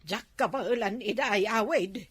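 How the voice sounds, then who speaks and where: A woman with an Ilocano accent playing a frank, gossipy village mother in a comedy drama, with a husky voice. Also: mid-range voice, moderate pace, natural voice. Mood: teasing, admonishing.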